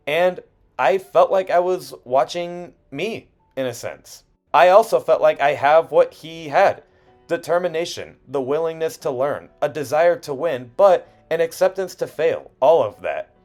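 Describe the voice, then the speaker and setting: A man's voice: on the high side, darkish tone. A man reading a scripted video essay in an earnest, personal way with emphatic phrasing. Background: background music.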